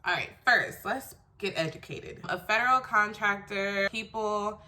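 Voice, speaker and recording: A woman's voice: medium pitch, a little slow, pushed and bright. A congested woman with a stuffy, nasal voice from a cold, giving casual career tips in a chatty, emphatic way. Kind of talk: casual how-to advice video.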